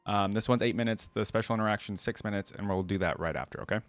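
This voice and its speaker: A man hosting a reaction video, reading aloud and thinking out loud in a casual, conversational way. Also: lowish voice, quick pace, no echo.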